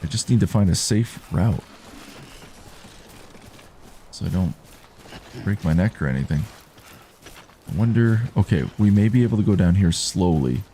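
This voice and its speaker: Relaxed male streamer, low dark baritone, easygoing commentary over light background noise. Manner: calm, unhurried, reads chat aloud. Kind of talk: relaxed live gameplay commentary.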